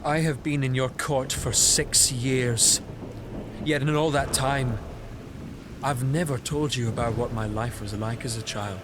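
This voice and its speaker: A man playing a bitter, scornful young prince bent on vengeance, speaking in a cold, deliberate, mocking way in an audio drama. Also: mid-range voice, steady tone, background noise, lightly acted. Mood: cold bitterness. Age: young adult.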